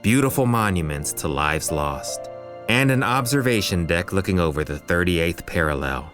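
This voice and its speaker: An actor playing a poetic, reflective male storyteller, narrating an audio drama in a measured, lyrical way. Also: sits low, lively intonation. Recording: music under the voice.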